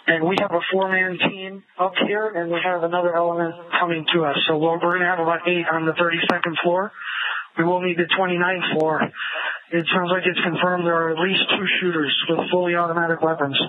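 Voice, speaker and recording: A man's voice: high-pitched, flat delivery, a little fast, a little hiss or hum. A male police officer giving urgent tactical reports over the radio. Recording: tinny police scanner radio.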